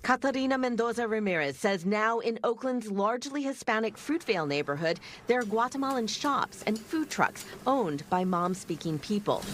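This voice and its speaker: Woman reporter doing news narration, measured, voice moves up and down a lot. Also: mid-range voice, slight background noise.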